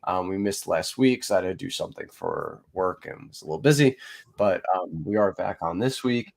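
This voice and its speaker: Male podcast host, low-pitched, nasal and stuffy from a cold, chatty and meandering. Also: big pitch swings, normal speed, gravelly. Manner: rambling, many fillers. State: congested from a cold. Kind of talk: casual podcast chat.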